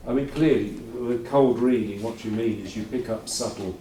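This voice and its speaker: A man's voice: medium pitch, normal speed, mellow, slight background noise. Man, conference host, rambling and chatty, dry asides, roomy hall echo, heard from a distance, faint ambience. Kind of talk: informal conference introduction. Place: lecture hall.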